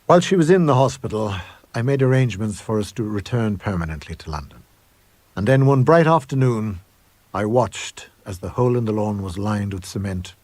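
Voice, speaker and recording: A man with a low, husky voice narrates a supernatural tale in the first person. His delivery is measured and suspenseful, in the manner of a radio reading. The sound is close and very dry. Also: a little mellow, no room sound. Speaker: reader of a short story.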